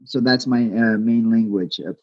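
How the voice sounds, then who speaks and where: A man whose first language is Aramaic, speaking as a fighter guest in a casual interview, humble and halting with many restarts. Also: lowish voice, fairly even intonation, dull audio.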